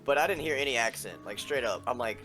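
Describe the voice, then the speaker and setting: A young man with a Southern drawl, chatting casually on a podcast with quick, jokey interjections. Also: medium pitch, lively intonation, music in the background, low-quality recording. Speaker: podcast co-host. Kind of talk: casual chat.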